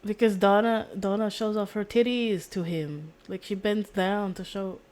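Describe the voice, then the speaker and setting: A woman's voice: medium pitch, steady tone, measured pace, darkish tone. A woman game streamer chatting casually in a rambling, slangy, blunt way, often repeating her words. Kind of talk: casual live-stream chat. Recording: faint background noise, game audio.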